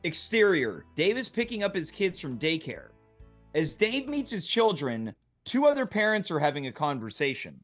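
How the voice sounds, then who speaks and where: A man's voice: fairly high pitch, wildly swooping pitch, normal speed, a bit bright, faint music behind. A man hosting a table read, moving between scripted narration and casual banter.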